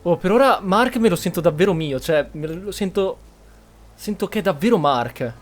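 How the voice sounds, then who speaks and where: Higher-pitched man commenting on a game. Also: lively intonation, fairly quick. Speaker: gaming video host. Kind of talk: casual roleplay commentary on gameplay. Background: game music.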